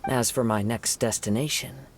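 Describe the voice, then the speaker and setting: Gentle, creaky-voiced young wandering samurai played by a man. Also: medium pitch, steady tone, background noise, close to an everyday voice. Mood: calm, courteous. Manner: measured, formal, reflective. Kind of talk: anime game voice acting. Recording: game music behind the voice.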